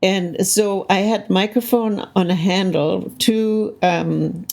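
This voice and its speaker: Older woman, interview guest, reflective, gravelly, mid-pitched, says "right?" a lot. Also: fairly even intonation, slow pace, darkish tone. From Canada. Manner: thoughtful, frequently adds "right?" to check in. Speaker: interview guest, soundscape composer.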